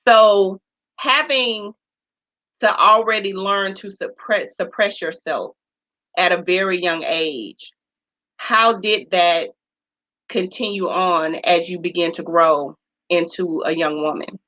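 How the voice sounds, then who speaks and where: Interview host: woman, bright and forceful voice, affirming, heard on a dull lo-fi recording. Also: lowish voice, takes it slowly. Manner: warm-hearted, affirming, many "mm" and "wow". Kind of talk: casual interview. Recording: dull lo-fi sound.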